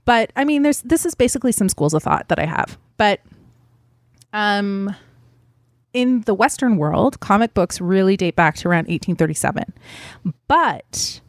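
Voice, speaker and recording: A woman's voice: mid-range voice, animated, moderate pace, no echo, studio quality. A woman co-hosting a podcast, explaining comic book history in a chatty way with fillers and small laughs. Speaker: podcast co-host. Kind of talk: casual explanatory podcast chat.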